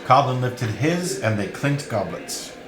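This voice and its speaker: A man's voice: mid-range voice, sing-song, unhurried. A man narrating a novel in a scripted, literary storytelling manner. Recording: roomy, echoing sound.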